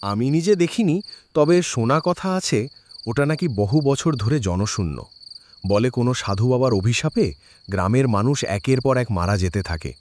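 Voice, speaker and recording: A man's voice: mid-range voice, animated, moderate pace, darkish tone, slight background noise, close to an everyday voice. A man playing a wary village shopkeeper in a horror cartoon, speaking in an ominous, cautioning way. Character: wary village shopkeeper warning a stranger of a curse.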